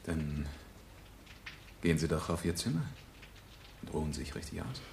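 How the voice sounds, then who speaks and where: An actor in a German radio play, playing a suave, flirtatious bachelor who talks with mocking politeness. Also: male voice, a bass voice, lively intonation, normal speed, darkish tone, slight background noise, heavily acted. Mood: casual, smooth. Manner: smooth politeness with mocking edge. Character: suave, sardonic bachelor charmer, flirtatious and probing.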